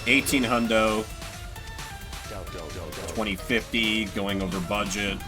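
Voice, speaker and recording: A man giving casual, animated and sarcastic gameplay commentary, swearing now and then. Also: lowish voice, takes it slowly, music in the background. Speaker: streamer playing and voicing a game solo.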